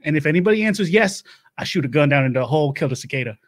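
A man's voice: fairly high pitch, lively intonation, lightly acted. A man playing Lester, a manic doomsday prepper. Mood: manic rambling. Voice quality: rapid, stumbling, loud bursts, drawling asides. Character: rambling Louisiana doomsday-prepper man, manic, self-assured. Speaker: livestream show host. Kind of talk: improv character comedy.

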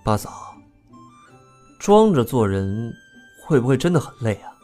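Man acting a young prince, animated pitch, playful, teasing, breezy, audio drama. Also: a little slow, soft background music.

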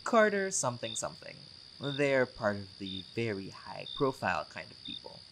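A man acts out a centuries-old vampire with an easygoing, teasing charm. His pitch moves in lively swings as he chats casually, as if to a friend in an audio roleplay. Clear night noise of crickets and insects sits behind him. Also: moderate pace. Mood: easygoing, intrigued. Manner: joking, teasing, often chuckling.

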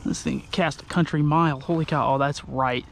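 A man's voice: fairly high pitch, fairly even intonation, brisk, gravelly, a bit bright, a little hiss or hum. A young man vlogging from a small boat on a lake, chatting and explaining his fishing rig as he works. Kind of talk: casual fishing vlog narration. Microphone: action camera on boat.

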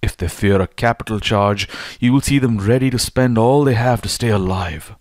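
A man with a low-pitched voice reads a philosophical essay as an audiobook narrator. His phrasing is deliberate and measured, leaning firmly on key words. The recording is dry and close, with no room echo. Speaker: audiobook reader.

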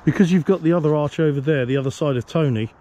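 Mellow man musing aloud outdoors. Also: on the high side, fast talker. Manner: musing, asks himself questions aloud. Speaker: presenter of a canal exploring series. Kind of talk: casual exploring commentary. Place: outdoors by a canal bridge and road.

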